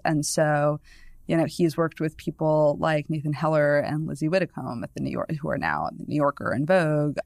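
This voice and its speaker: New england woman, 30s, podcast guest, low voice with vocal fry, rambly and chatty, dry close mic. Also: no room sound. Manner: chatty, discursive, many "you know" asides, laughs easily. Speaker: guest, newspaper columnist. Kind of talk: casual podcast conversation.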